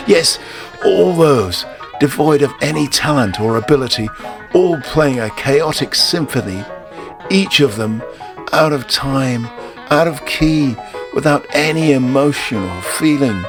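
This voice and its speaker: A man narrating a scripted first-person short story in a theatrical, intense way with deliberate phrasing. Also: medium pitch. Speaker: narrator and performer. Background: music.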